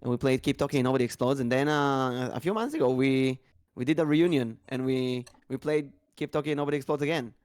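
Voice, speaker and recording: A man hosting a casual podcast, talking in a chatty, rambling way with a slightly tired, raspy voice. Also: mid-range voice, fast, darkish tone, dry recording. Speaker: podcast host.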